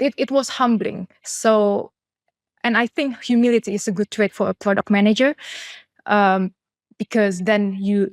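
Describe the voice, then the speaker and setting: A woman's voice: mid-range voice, no echo. Reflective woman from Indonesia. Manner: reflective, many fillers and restarts. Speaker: guest, chief product officer. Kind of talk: candid interview answers.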